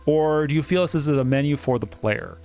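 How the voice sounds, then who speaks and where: A man's voice: a little high, brisk, a little mellow, faint music behind. A man giving casual, digressive gaming commentary, joking around and reading on-screen text aloud. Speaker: game playthrough host.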